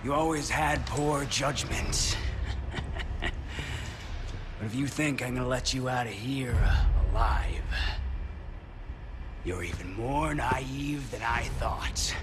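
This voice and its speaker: An actor playing a menacing soldier turned villain in a video game, mocking and condescending. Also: male voice, medium pitch, animated, takes it slowly, pauses often, background noise.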